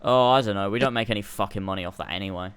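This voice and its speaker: A man's voice: fairly low pitch, racing, fairly bright tone, clean tone, dry recording. A man co-hosting a casual podcast, replying briefly in a laid-back way. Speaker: podcast co-host. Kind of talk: casual chat.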